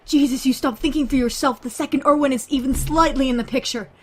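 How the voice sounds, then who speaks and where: A woman playing a hot-tempered, foul-mouthed, skeptical survivor in an audio drama, biting and confrontational, with a husky voice. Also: sits high, steady tone, fast talker, a little hiss or hum, lightly acted. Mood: angry. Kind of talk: fan audio drama acting.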